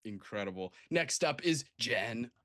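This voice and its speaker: A man's voice: medium pitch, expressive, unhurried, a bit bright, no room sound, studio quality. A man hosting a livestream in a casual, enthusiastic and effusive way, introducing people over a video call. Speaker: host.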